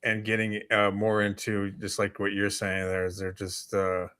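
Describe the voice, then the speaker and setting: A man with a fairly low voice chats as a co-host. His talk is casual and a little hesitant, often pausing to find the right word. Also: monotone, sharp tone, clear, resonant tone. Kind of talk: casual chat.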